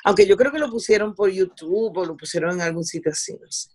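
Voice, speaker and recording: A middle-aged woman with a Puerto Rican accent and a medium-pitched voice. She recounts her working life as a journalist in a conversational way, repeating words for emphasis. English words slip into her Spanish. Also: animated, fast, a little mellow, husky. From Puerto Rico. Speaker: interviewee, veteran television journalist. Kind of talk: conversational recollection in an interview.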